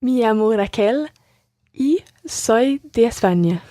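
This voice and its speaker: A woman's voice: fairly high pitch, expressive, warm tone, dry recording, clean studio sound. A woman acting as the learner in a podcast lesson, carefully repeating each phrase after her teacher. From Scotland. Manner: careful, measured repetition.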